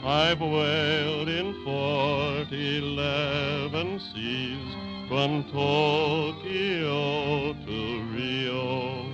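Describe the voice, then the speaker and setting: A man's voice: a little high, steady tone, very slow, few pauses. An American man playing a mournful, grumbling whale, singing a comic operatic lament in 1930s radio style. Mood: doleful. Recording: dull old radio recording, music behind.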